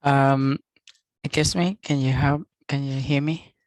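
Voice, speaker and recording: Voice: medium pitch, fairly even intonation, a little slow, a little mellow, no room sound, studio quality. A student answering in class on a video call, hesitant, with broken English and many fillers.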